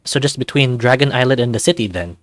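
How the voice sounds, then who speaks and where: A man's voice: mid-range voice, expressive, quick pace, a bit bright, dry recording, faint music behind, natural voice. A man voicing a friendly, inquisitive young lifeguard, the hero of a story game. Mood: curious. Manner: chatty, inquisitive.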